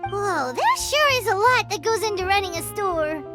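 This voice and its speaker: Very high-pitched childlike female sprite, bouncy lively voice, chirpy, game music playing. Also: moderate pace. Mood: curious. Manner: bubbly, refers to herself in third person. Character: tiny floating female companion, chirpy and childlike. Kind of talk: video game voice acting. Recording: game audio with music behind.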